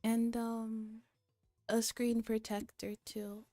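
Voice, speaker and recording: Female VTuber host, soft mellow tone, dry close mic with faint music behind, casual and playful chat. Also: a little high, completely flat delivery, slow, no echo. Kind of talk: casual livestream chat, reading a visual novel aloud.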